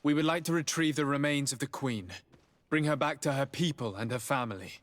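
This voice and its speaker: An actor playing a formal, diplomatic noble envoy in a video game, speaking in a courteous, measured way. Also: male voice, medium pitch, a bit bright, natural voice. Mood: earnest, persuasive. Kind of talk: video game cutscene acting. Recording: background music under the voice.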